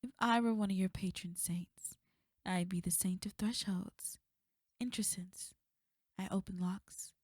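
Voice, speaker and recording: A woman playing a sly, teasing succubus in an intimate ASMR roleplay, breathy and often slipping into whispers. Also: medium pitch, steady tone, measured pace, darkish tone, dry recording, clean studio sound. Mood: seductive, coaxing. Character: sly, seductive female succubus, taunting and teasing. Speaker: audio roleplay performer.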